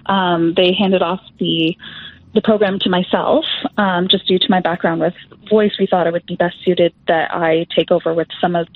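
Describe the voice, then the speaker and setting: A woman's voice: fairly low pitch, breathy edge. A young adult woman music therapist, explaining her voice work as an interview guest with frequent fillers. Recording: tinny phone-like line.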